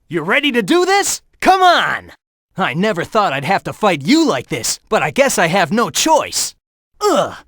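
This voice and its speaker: Brash game hedgehog hero, teasing and coaching his rival, animated delivery, dry close booth sound. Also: sits high, no echo, exaggerated voice. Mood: cocky teasing. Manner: cocky, teasing banter; urgent warnings and coaching. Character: cocky young male hedgehog hero, fast and teasing. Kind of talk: video game voice acting.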